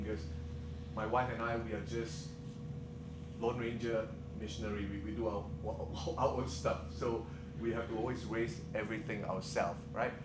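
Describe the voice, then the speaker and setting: A man who is a missionary filmmaker, talking casually on a conference panel, repeating words and laughing often. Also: medium pitch. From Singapore. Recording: echoey room with loud background hiss.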